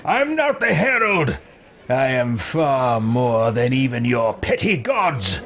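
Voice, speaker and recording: A man's voice: medium pitch, sing-song, slow, fairly bright tone, exaggerated voice. Arrogant, imperious fantasy overlord a man roleplaying. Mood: contemptuous. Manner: imperious, disdainful. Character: arrogant, contemptuous male would-be god, easily irritated. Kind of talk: amateur roleplay over a video game.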